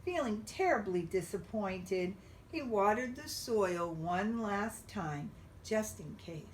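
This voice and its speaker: Slow, animated woman reading a children's story. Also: medium pitch, recorded from a distance, a little hiss or hum, poor audio. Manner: expressive, drawn-out emphasis for young listeners. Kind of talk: read-aloud picture book narration.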